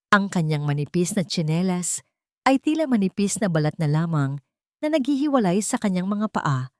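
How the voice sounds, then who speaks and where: Low-pitched woman narrating dramatic story. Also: warm tone, no echo, clean studio sound.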